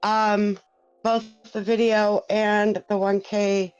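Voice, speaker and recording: A woman hosting a casual podcast, unhurried and friendly as she welcomes guests. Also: mid-range voice, little pitch movement, darkish tone, clean tone. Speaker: podcast host. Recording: dull lo-fi sound with faint music.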